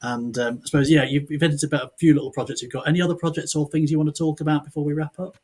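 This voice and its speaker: A man's voice: fairly high pitch, fairly even intonation, racing, a little mellow, a little hiss or hum. A man hosting a podcast in a casual interview style, speaking hesitantly but with enthusiasm. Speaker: podcast host.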